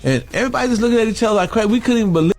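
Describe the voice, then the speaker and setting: A man telling a story casually in an excitable, emphatic way. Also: high voice, rapid-fire, soft background music.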